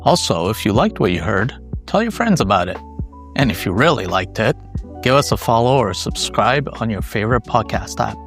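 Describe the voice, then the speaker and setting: A man's voice: on the low side, sing-song, normal speed, fairly bright tone, faint music behind. A man speaking as a podcast host, in a presenter's style. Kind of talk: interviewing and scripted sign-off.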